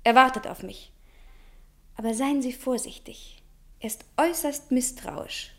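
An actress playing a clever young female student who speaks briskly and confidently, in a radio play. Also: sits high. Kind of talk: 1950s radio play acting.

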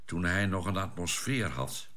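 An actor playing a sober, sceptical scientist on a spaceship crew in a radio drama, thoughtful and explanatory in manner. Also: male voice, sits low, lively intonation, a little slow, fairly bright tone. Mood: pensive, explaining. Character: sober, sceptical male scientist aboard a spaceship, reasoning carefully. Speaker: crew member.

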